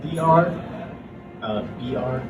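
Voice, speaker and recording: A man speaks as a panelist in a casual, encouraging way. His mid-pitched voice sounds roomy and a little far from the mic, with loud music behind it. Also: slow. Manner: informal, encouraging. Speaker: panelist, comic artist. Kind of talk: casual convention panel talk. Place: convention panel room.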